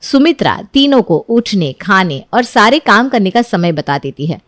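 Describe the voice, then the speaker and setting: A woman narrating a children's cartoon story in a clear, even storytelling manner. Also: mid-range voice, normal speed, no echo, clean studio sound.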